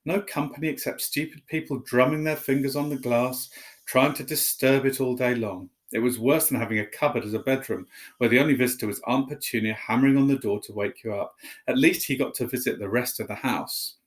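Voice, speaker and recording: A man's voice: mid-range voice, steady tone, a little fast. A man telling a story, reading aloud expressively as a narrator.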